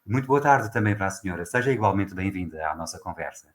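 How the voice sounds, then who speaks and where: A man hosting a formal interview, introducing guests courteously and speaking in a measured way. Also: sits low, lively intonation. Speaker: host.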